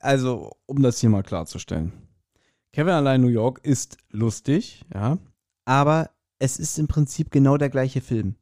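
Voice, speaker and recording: A man's voice: medium pitch, animated, normal speed, a little mellow, no room sound, studio quality. A man co-hosting a podcast in casual chat, giving his opinions in slangy, explanatory talk. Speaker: podcast co-host.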